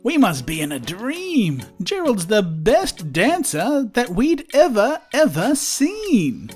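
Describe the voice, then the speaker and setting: A woman voicing brash, excitable jungle animals exclaiming in a children's storybook. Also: a little high, slow pace, husky, soft background music, pitched above the performer's own voice, full character voice. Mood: amazed.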